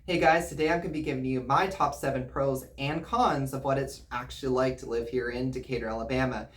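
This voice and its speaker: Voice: medium pitch, steady tone, brisk. A real estate agent from the United States hosting an informal, explanatory video presentation in a conversational way. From United States, grew up in Virginia and Missouri.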